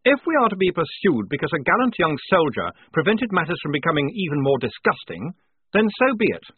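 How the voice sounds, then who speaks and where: A man acts out a prim, well-mannered army officer from an audiobook. His voice sits high and leaps about as he pompously tries to seem firm while worried. The recording is narrow and thin, like a radio. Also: big pitch swings, a little fast, slightly rough, dry recording. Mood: proud, indignant. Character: nice, worried young male army officer feigning firmness.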